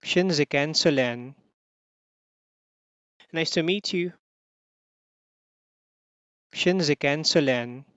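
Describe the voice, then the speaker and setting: A language teacher reading a scripted lesson of short phrases and translations, deliberately repeating each one for learners. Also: mid-range voice, a bit bright, smooth, no echo, dull audio.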